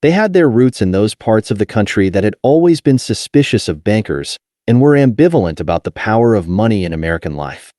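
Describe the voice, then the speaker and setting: A man narrating a nonfiction audiobook in a measured, clearly articulated reading. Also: fairly low pitch, very animated, warm tone, no echo, studio quality.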